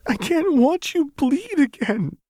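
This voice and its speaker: An intense, fragile young woman, played by a male narrator, lifted far above his natural pitch. Her delivery is breathy and full of feeling, fiercely devoted. It is a dramatic storybook reading with a dry sound. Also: big pitch swings, takes it slowly, darkish tone, dry recording, exaggerated voice. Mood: panicked, pleading.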